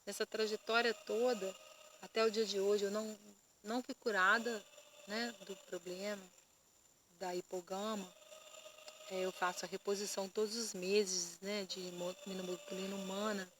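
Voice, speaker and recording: A woman pastor giving an earnest personal testimony to camera, often pausing and repeating words as she searches for them. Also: medium pitch, fairly even intonation, noisy background. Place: indoors.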